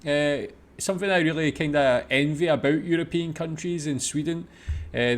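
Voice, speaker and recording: A British man giving casual, halting off-the-cuff commentary as a reaction host, peppered with "like" and "kinda". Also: a little high. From Britain. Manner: halting, hedging with many "like" and "kinda".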